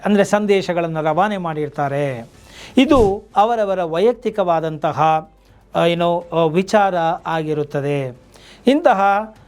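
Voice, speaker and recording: A man with an Indian accent, a lawyer hosting an explainer, speaking didactically and directly to viewers as he gives legal advice. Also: high-pitched. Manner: didactic, addresses viewers directly with rhetorical questions. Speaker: lawyer and video host.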